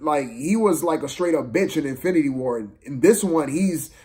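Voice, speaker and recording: A man giving a casual, unscripted film review rant, exasperated and slangy, repeating himself for emphasis in a halting way. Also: on the high side, lively intonation, a little mellow.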